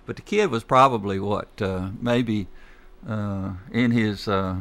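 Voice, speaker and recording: Older grandfather host, low voice, slow reminiscing talk. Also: a bit bright. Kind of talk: casual chat.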